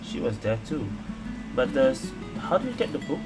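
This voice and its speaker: A man with a medium-pitched voice comments casually on a game as he plays. He reacts and thinks aloud in a streamer's style. Loud game music runs behind him. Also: big pitch swings, normal speed, low-quality recording. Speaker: gaming streamer.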